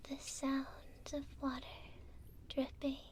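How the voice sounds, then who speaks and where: A woman playing a gentle, curious elf prisoner in an ASMR roleplay, speaking softly and hesitantly, close to a binaural microphone. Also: sits high, completely flat delivery, slight background noise. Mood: shy, welcoming. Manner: slow, soft, hesitant with gentle pauses.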